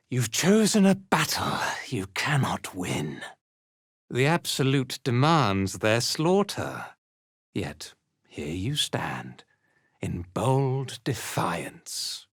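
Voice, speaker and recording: Haughty dark elf commander, deliberate pacing, dramatic swings in pitch, contemptuous, zealous, video game villain. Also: male voice, breathy edge, no room sound, studio quality, exaggerated voice. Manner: imperious, contemptuous, theatrical delivery. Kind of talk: scripted video game character acting.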